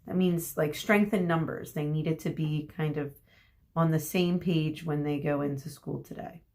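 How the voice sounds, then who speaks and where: A woman reading a children's story aloud expressively as a teacher, pausing to explain words and ask questions. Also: low-pitched, fairly even intonation, darkish tone.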